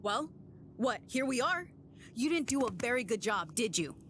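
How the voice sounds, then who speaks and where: A woman playing a grieving, sharp-tongued young female agent in an audio drama, blunt and defiant in manner. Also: a little high, big pitch swings, normal speed. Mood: angry, accusing. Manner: blunt, sarcastic, swearing. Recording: noisy ambience behind the voice.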